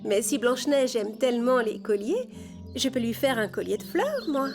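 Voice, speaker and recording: A woman voicing a kind, lively young heroine in a cartoon fairy tale, friendly and gently chiding. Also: fairly high pitch, moderate pace, music in the background. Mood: amused, friendly.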